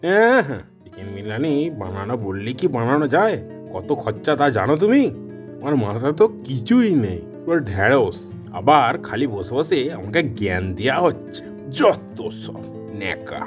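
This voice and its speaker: A man's voice: medium pitch, big pitch swings, normal speed, music in the background, lightly acted. A man voicing a grumpy, scheming male biryani seller in a Bengali cartoon, grumbling and sly. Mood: irritated.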